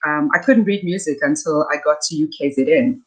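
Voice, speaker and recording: A middle-aged South African woman, a soprano, talking warmly as a guest in a conversational interview over a video call, with many fillers. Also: sits low, fairly quick, low-quality recording. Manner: warm, gracious, many fillers.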